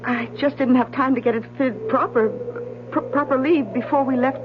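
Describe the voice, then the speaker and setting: An actress plays an unpolished, hesitant young wife in vintage radio drama. Her voice is a bit high and halting. The sound is narrow like a phone, with clear noise behind. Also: steady tone, lightly acted. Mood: awkward, hesitant. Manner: halting, self-correcting. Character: awkward, hesitant young bride, unpolished and timid. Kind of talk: old radio drama acting. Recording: tinny, noisy old radio broadcast.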